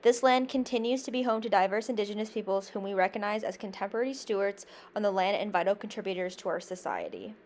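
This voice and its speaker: A woman's voice: medium pitch, quick pace, hardly any pauses, a little mellow, a little hiss or hum. A young woman from Canada, a university student, reading a prepared class presentation in an earnest, careful way, with small slips and restarts. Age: young adult.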